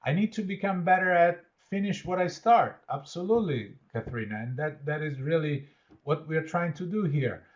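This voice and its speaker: A man's voice: a little high, animated, moderate pace, muffled recording. A man with an Italian accent, born in Italy, leading an online training session and praising participants' answers. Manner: encouraging, repeats "absolutely", reads out participants' answers. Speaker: workshop trainer.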